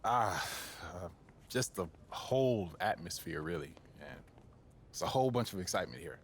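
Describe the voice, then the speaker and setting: Young American man, low voice, easygoing confident hoops rookie for a sports game, faint noise behind. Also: lively intonation, moderate pace. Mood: relaxed, diplomatic. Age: young adult. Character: confident, easygoing young male basketball draft prospect. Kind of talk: naturalistic video game cutscene acting.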